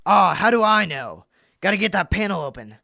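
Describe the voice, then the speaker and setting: A frantic crime boss played by a woman in a radio drama, snapping out orders. The voice is sharp and gravelly, recorded close and dry. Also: medium pitch, expressive, fairly quick, dry recording. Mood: urgent, irritated. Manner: curt, barking urgent orders. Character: bossy, frantic crooked blackmailer gang boss.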